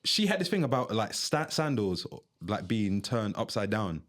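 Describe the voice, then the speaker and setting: Young London man, halting anecdote. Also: on the low side, sing-song, a bit bright. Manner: halting, restarts mid-word, laughs while telling. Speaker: podcast co-host. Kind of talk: casual storytelling.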